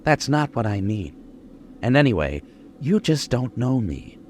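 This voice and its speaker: An actor playing a brooding, menacing male killer in old-time radio suspense style, intense, halting and obsessive. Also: medium pitch, big pitch swings, a little mellow, slight background noise. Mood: uneasy, evasive.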